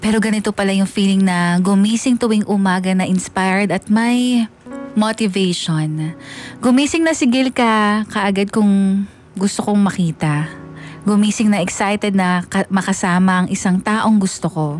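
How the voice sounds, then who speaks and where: Woman, Filipino accent, radio drama narrator, emotive letter reading, medium pitch, faint background music. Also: moderate pace. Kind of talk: dramatic first-person reading of a listener's letter.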